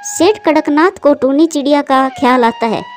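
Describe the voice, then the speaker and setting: A woman's voice: high voice, moderate pace, a little mellow, faint music behind. A woman narrating a scripted children's moral story as a storyteller.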